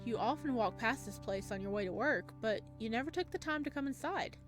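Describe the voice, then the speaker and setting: A woman with a fairly high voice plays through a story game, reading the narration aloud with expression. Her asides are gushing and excitable. Plucked guitar music plays clearly behind her. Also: fairly quick. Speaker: host of a game playthrough. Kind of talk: let's play commentary with read-aloud narration.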